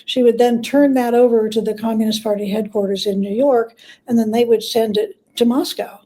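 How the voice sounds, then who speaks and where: A woman talking as an interview guest, telling stories in a halting, reflective way with repeated words. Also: fairly high pitch, fairly even intonation, dark tone, poor audio. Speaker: guest author and biographer. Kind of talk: conversational interview storytelling.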